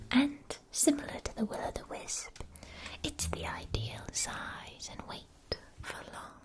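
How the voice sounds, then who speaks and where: Woman acting as female magic shopkeeper, ASMR roleplay, whispered, slow pace, gentle, attentive, careful articulation. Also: medium pitch.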